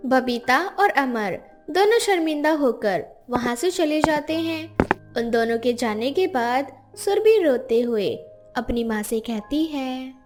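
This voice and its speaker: A woman's voice: high-pitched, animated, slow, darkish tone. A woman narrating a scripted moral story. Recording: music behind the voice.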